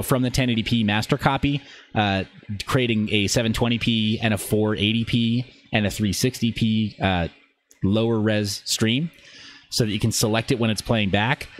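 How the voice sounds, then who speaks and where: Male livestream host, informal and enthusiastic tech talker, medium pitch, audible background noise. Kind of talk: casual livestream tech talk.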